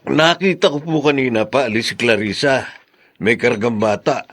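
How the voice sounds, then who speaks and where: An actor with a Filipino accent, playing a respectful, concerned grown son in a radio drama. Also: male voice, mid-range voice, lively intonation, moderate pace, fairly bright tone. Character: polite, worried grown son.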